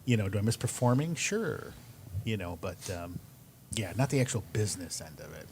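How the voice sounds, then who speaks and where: A man's voice: fairly low pitch, sing-song, moderate pace, darkish tone. Man chatting casually, faint room noise. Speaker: improv comedian, show guest. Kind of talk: casual interview chat.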